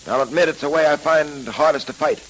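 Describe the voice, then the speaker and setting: An actor playing a resolute, law-abiding detective in earnest 1930s radio drama style, on a hissy old recording. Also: male voice, on the high side, fairly bright tone, close to an everyday voice, rough recording. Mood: resigned. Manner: firm, earnest, deliberate.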